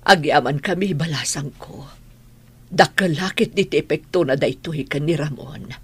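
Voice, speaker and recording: A woman playing a sorrowful elderly grandmother in an Ilocano radio drama, speaking in a husky, halting voice. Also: low-pitched. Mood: subdued, grateful.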